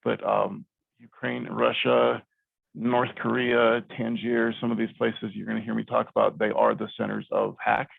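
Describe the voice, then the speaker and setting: A middle-aged man talks through a cybersecurity webinar in a very gravelly, husky voice. He speaks loosely, with fillers and restarts. The sound is dry and narrow, like a phone line over a video call. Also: fairly low pitch, no room sound. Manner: conversational, many ums and restarts. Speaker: IT company CEO giving a cybersecurity talk. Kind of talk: webinar presentation.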